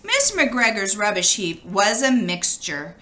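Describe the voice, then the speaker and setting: A woman reads a children's storybook aloud with lots of expression. Her pitch moves freely up and down in an animated way, and her tone is bright. She addresses the story to young listeners. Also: measured pace, a little hiss or hum. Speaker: storybook reader.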